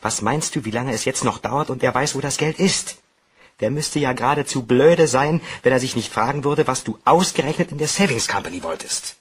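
An actor playing an anxious, defensive young bank clerk with a guilty secret, in a German crime radio play. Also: male voice, medium pitch, expressive, fairly quick, husky. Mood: angry, alarmed.

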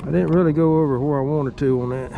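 Gravelly-voiced man fishing from a boat. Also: a little high, fast talker, muffled recording, background noise. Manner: folksy, drawling, excited when fish bite. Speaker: fishing video host. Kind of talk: casual fishing vlog. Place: small boat on open lake outdoors. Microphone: action camera mounted on boat.

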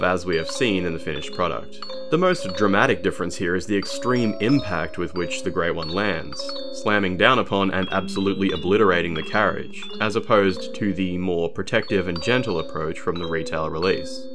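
Male narrator for game analysis, low-pitched, documentary-style scripted read over a music bed. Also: moderate pace, few pauses. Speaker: video narrator.

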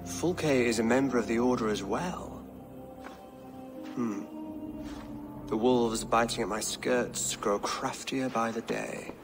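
A man's voice: mid-range voice, takes it slowly, pauses often, music in the background, close to an everyday voice. A man playing a shrewd, pious Saxon king in a video game, speaking formally and with great deliberation. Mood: guarded, grave. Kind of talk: video game cutscene acting.

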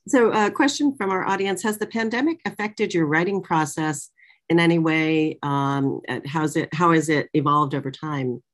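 A woman's voice: low voice, moderate pace. A middle-aged woman hosting a casual conversation over a video call, putting audience questions in an informal, hesitant way. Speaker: interviewer, moderator. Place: small office, indoors. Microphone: video call, computer microphone.

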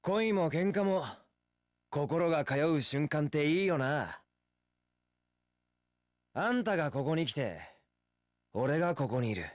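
An actor playing a young wandering warrior in an action game, easygoing, romantic and sincere, with casual, rough speech. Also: male voice, on the high side, expressive, takes it slowly, long pauses, dry recording, clean studio sound. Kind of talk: Japanese action game voice acting.

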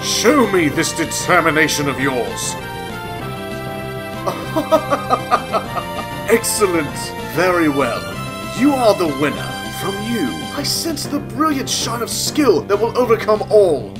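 A man's voice: sits high, big pitch swings, measured pace. A man voicing an elegant, theatrical older gym leader with a grand, courtly manner in a video game fan dub. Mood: amused, gracious. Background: game music.

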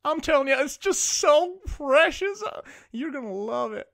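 A woman's voice: high-pitched, animated, normal speed, creaky. A woman playing a doting stage mother in a comedy sketch, effusive and full of pet names for her child. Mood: gushing.

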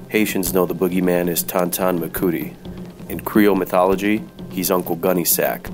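A hard-boiled detective played by a man narrates in a deep voice over music. He is deadpan, slangy and unfazed, in the manner of an old-time radio noir serial.

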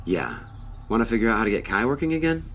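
A man's voice: lowish voice, sing-song, fast talker, a bit bright. A man playing a dry, guarded companion in an audio drama, with a laconic, teasing way of talking. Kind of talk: naturalistic audio drama acting. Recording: faint background noise.

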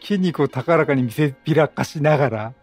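A man's voice: on the high side, fairly quick, no room sound. A middle-aged man giving casual, chatty game commentary, quipping at the characters as he plays. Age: 30s or 40s. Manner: chatty, reacts and quips at the characters. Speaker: gameplay commentator. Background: game music.

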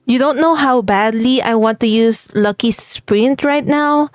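Cheerful Filipino woman with a bright voice. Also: a little high, unhurried, no room sound, studio quality, soft background music. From Philippines. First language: Tagalog. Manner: cheerful and chatty, laughs often. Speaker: gaming channel host. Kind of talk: let's play commentary.

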